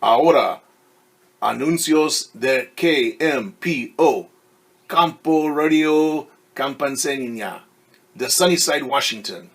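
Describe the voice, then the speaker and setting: A man voicing a friendly male radio announcer, reading slowly and deliberately from a storybook. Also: medium pitch, husky. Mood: upbeat.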